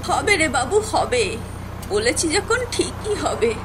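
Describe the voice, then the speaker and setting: A woman's voice: high-pitched, sing-song, a little slow, background noise. A woman voicing a kindly, folksy elderly village great-aunt in a cartoon, affectionate and reassuring. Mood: reassuring, fond. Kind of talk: Bengali moral-story cartoon acting. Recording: music behind the voice.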